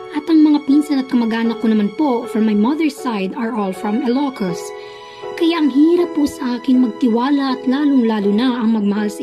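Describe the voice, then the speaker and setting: Woman acting earnest Filipino radio narrator, young, fairly high voice, confessional love-letter reading, clear music bed. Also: natural voice. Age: young adult. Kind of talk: scripted radio drama narration, mixing Tagalog and English.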